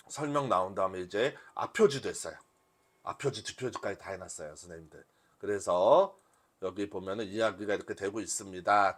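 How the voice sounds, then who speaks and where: Guy running a live workshop demo, really animated pitch, chatty teacher style, asks stuff then answers it. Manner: conversational teaching, asks and answers own questions. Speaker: workshop instructor. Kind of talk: live software demonstration to a class.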